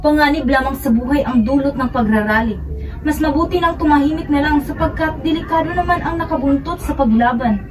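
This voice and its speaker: A woman's voice: sits high, a little fast, lightly acted. A woman with a Filipino accent playing a concerned, cautious aunt in an amateur radio drama. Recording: distant mic, noisy crowd behind.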